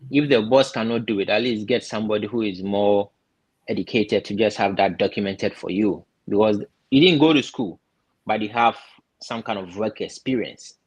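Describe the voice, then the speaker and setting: A man from Ghana giving casual step-by-step advice, often pausing and repeating words as he thinks. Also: lowish voice, husky, low-quality recording. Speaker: guest explaining visa steps.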